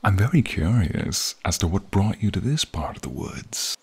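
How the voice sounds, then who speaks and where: A man voicing an alluring, menacing archmage who smugly toys with a captive in an ASMR fantasy roleplay, husky and breathy, with teasing murmurs. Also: low-pitched, very animated, darkish tone, full character voice. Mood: teasing, probing. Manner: slow, teasing, smug, drawn-out murmurs.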